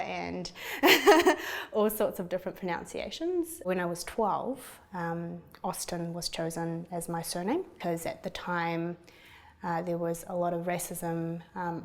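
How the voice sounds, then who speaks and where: Young woman from China, lower voice, easy chatty interviewee. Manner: chatty, laughs while telling stories. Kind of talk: documentary interview.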